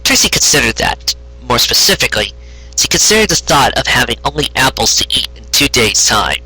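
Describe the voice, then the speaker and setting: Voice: medium pitch, very animated, fairly quick, pushed and bright, breathy edge, low-quality recording. An amateur narrator reading a story expressively, with occasional stumbles and self-corrections.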